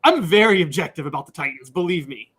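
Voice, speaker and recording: A man in his thirties co-hosting a sports talk show, earnest and quick to laugh. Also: fairly high pitch, animated, fairly bright tone, husky. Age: 30s. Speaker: co-host.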